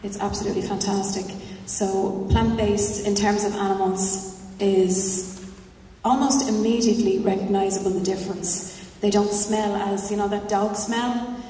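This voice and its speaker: A woman's voice: medium pitch, little pitch movement, normal speed, dark tone. A woman answering at a panel discussion, rambling and full of "you know" asides. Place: large hall at a festival panel. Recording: roomy hall, distant mic, faint noise.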